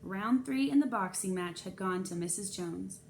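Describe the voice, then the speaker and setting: A woman reads a children's novel aloud as a storyteller. Her voice is medium in pitch, and there is some noise in the recording. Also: animated, normal speed, a little mellow. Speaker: storybook reader. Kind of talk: expressive read-aloud narration of a children's novel. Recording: clear background noise.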